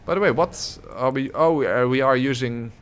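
A man's voice: mid-range voice, moderate pace, smooth. A man streaming a game and chatting with viewers, talking close to a desk microphone, rambling with many filler sounds and little chuckles. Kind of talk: casual live gameplay commentary, reading chat. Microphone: desk microphone close to the face.

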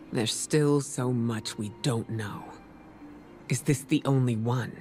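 A composed female spymaster from a video game. Her voice sits very low for a woman, and she weighs each phrase with solemn deliberation. Clear music plays behind her. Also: a little mellow. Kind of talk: scripted video game dialogue.

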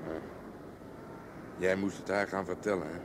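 A man's voice: deep voice, level tone, moderate pace, close to an everyday voice. An actor playing a sombre, sympathetic policeman in a radio drama. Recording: clear traffic noise behind voice.

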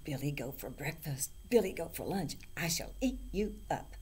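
Woman putting on a loud, wicked, hungry troll. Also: sing-song, heavy background noise. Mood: blustering. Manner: menacing, booming, drawn-out chanting. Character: wicked terrifying male troll, greedy and blustering.

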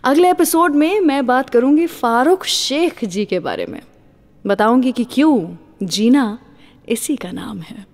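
A woman's voice: high-pitched, lively intonation, measured pace, darkish tone, in a large hall. A woman with an Indian accent presenting a scripted radio show, mixing English words into her speech.

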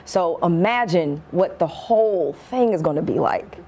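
A woman's voice: lowish voice, expressive, unhurried, dark tone, breathy edge. A woman talking casually as the host of a local television interview. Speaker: talk show host. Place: small furnished living-room style TV set indoors.

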